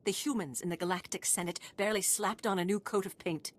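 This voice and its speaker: A woman's voice: medium pitch, fairly even intonation, quick pace, natural voice. An actress playing a proud, wronged female alien refugee leader in a video game, speaking formally and solemnly. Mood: pleading, solemn. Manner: formal, solemn, impassioned pleading. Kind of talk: scripted video game dialogue.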